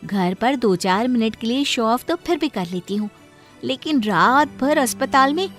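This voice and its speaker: A woman's voice: on the high side, lively intonation, unhurried, music in the background. A woman voicing a sly, sweet-talking younger daughter-in-law in a moral cartoon story, with showy politeness. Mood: smug.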